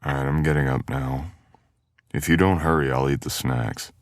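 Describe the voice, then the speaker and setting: A man's voice: extremely low pitch, fairly even intonation, normal speed, no echo, clean studio sound. A man playing a teasing, caring male best friend in an intimate boyfriend ASMR audio roleplay, with playful grumbling.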